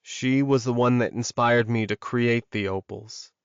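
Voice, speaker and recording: Man playing a solemn, measured male werewolf alpha. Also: mid-range voice, steady tone, clean tone, no echo, muffled recording, lightly acted. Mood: grieving, proud. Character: reserved, steady male werewolf alpha, grieving yet supportive.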